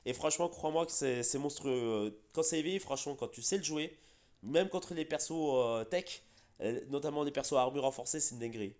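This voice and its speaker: A man's voice: medium pitch, a little fast, a little mellow. A man hosting casual gaming chat, a bit congested from being sick, rambling with fillers and restarts. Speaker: gaming channel host.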